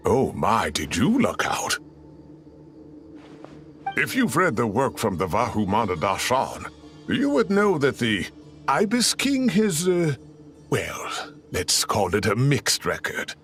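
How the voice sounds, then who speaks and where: An actor playing a fussy, impatient professor who teases in a sarcastic, lively way. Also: male voice, mid-range voice, slow pace, long pauses, heavily acted. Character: fussy, impatient male professor, teasing but caring. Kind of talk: video game voice acting. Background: game music.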